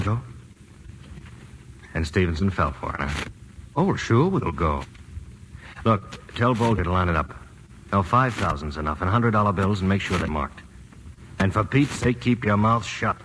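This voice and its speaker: A man's voice: deep voice, expressive, moderate pace, pauses often, a bit bright, poor audio. An actor playing a breezy, secretive lawman husband in a 1940s radio drama, casual and clipped. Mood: brisk, secretive. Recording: hissy vintage radio broadcast.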